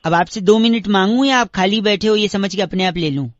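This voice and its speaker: A man's voice: sits high, fairly quick, dry recording, lightly acted. A man voicing a pestering, long-winded radio prank caller who argues nonsense with mock earnestness in a comic phone call. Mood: needling.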